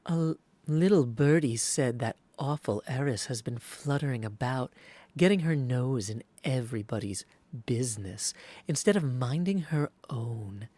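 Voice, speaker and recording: Man voicing a breathy, gossipy goddess of love. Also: medium pitch, lively intonation, a little slow, dark tone, no echo. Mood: catty gossip.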